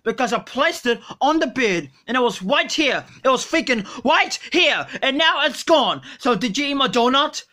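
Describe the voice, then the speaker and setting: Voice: high voice, animated, normal speed, hardly any pauses, pushed and bright. A performer voicing a hot-tempered, whiny, foul-mouthed puppet in a comic skit, repeating exclamations indignantly. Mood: demanding, irritated.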